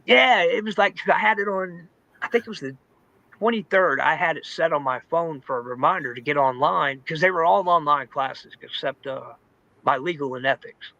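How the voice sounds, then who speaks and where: A man's voice: on the high side, normal speed, gravelly, pushed and bright, muffled recording. A man giving a personal testimony in an informal, candid, conversational storytelling way, saying "man", "dude" and "you know" often. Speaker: testimony guest in recovery.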